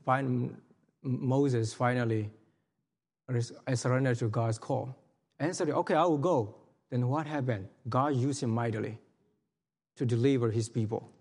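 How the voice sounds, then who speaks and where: A man's voice: medium pitch, a little mellow. Earnest man preaching in a hall. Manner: earnest, halting, many restarts and fillers. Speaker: missionary speaker. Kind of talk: sermon testimony. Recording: long hall reverb.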